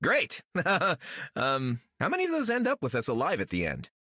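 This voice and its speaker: Cocky, animated young hotshot. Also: male voice, fairly high pitch, moderate pace, no room sound, studio quality, heavily acted. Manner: breezy, teasing, slangy. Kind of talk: video game voice acting.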